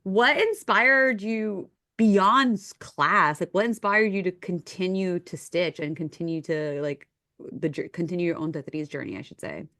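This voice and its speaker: A woman's voice: fairly low pitch, unhurried, bright, forceful tone. A woman co-hosting a podcast, interviewing in a casual, informal and reactive conversational way.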